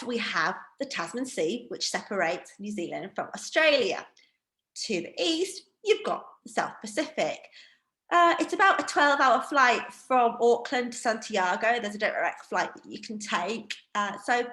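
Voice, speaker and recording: A woman's voice: a little high, fairly bright tone, echoey room. A woman with a Northeast English accent giving a chatty, self-deprecating online wine training session over a video call. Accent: Northeast England. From Northeast England. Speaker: wine educator. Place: home room.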